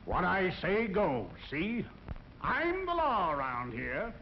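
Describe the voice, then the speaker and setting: An actor playing an arrogant, bullying town boss with a domineering drawl in a 1940s educational film. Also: male voice, high voice, sing-song, slow pace, full character voice. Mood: smug, menacing. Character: arrogant male town boss who bullies everyone. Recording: dull, noisy 1940s film soundtrack.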